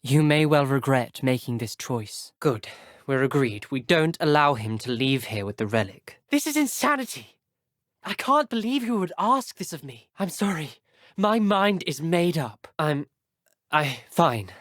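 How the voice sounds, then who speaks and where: A man's voice: a little high, very animated, a little slow, fairly bright tone, no room sound, studio quality. A young man with a British accent voicing an earnest, guarded teenage wizard schoolboy in a video game, speaking in clipped, formal phrases. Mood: grave, resolute, then indignant disbelief. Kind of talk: video game character dialogue.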